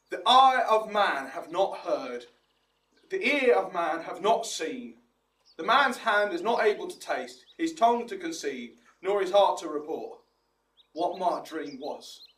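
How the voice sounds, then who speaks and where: A man's voice: sits high, a bit bright. A man playing an exuberant, self-important amateur actor in a theatrical Shakespearean audio drama, declaiming grandly and bossily. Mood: dreamy wonder. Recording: roomy, distant mic, music behind.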